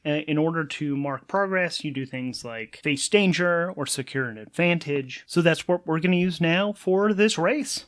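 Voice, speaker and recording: A man hosting a solo tabletop role-playing show, thinking aloud in an animated, conversational way as he narrates play. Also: fairly high pitch.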